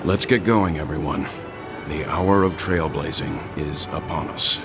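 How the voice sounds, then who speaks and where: A man voicing a calm, wise middle-aged strategist in a video game, speaking formally and deliberately. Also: very deep voice, gravelly, heavily acted. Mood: composed resolve. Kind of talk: scripted video game dialogue. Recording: music behind the voice.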